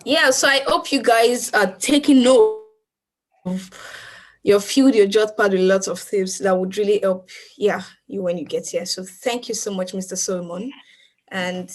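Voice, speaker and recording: A woman's voice: medium pitch, measured pace. A woman hosting an online event on a video call, chatty and playful as she introduces the next session. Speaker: event host. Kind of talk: casual moderating.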